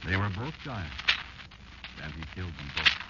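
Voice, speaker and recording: Very low voice, male actor as eerie knowing narrator, animated, deliberate, radio drama, heavy noise, muffled old recording. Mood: musing, grim. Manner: deliberate, ominous, conversational storytelling with pointed pauses. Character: eerie insect narrator, ominous, knowing, scornful of human giants.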